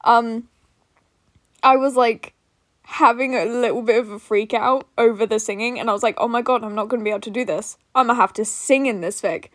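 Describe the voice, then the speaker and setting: A woman's voice: a little high, fairly quick, no echo, clean studio sound. A woman podfic narrator chatting casually to her listeners in an informal, giggly, self-deprecating way.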